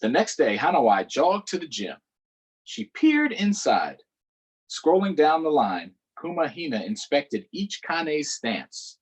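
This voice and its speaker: Slow, lively man reading to kids. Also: a little high, slightly rough, poor audio. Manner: unhurried, adds explanatory asides to young listeners. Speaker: storytime reader. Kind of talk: reading a picture book aloud to children.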